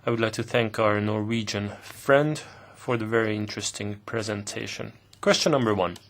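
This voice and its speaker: A man interpreting into English at a committee hearing, with a steady, formal delivery. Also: lowish voice, normal speed. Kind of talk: simultaneous interpretation.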